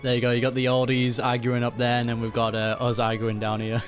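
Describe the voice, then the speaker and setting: A woman's voice: very deep voice, completely flat delivery, brisk. A woman giving casual, playful, slangy reaction commentary on a game story as a streamer. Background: game music and dialogue.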